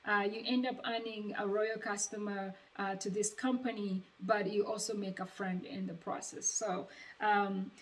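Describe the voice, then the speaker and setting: A young woman with a Ugandan accent talks casually, as if advising a friend. Her voice sits at a middle pitch for a woman and is picked up some way from the microphone. The delivery is conversational and encouraging. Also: level tone, moderate pace. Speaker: vlogger sharing sales advice. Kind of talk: casual personal advice talk. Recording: distant microphone.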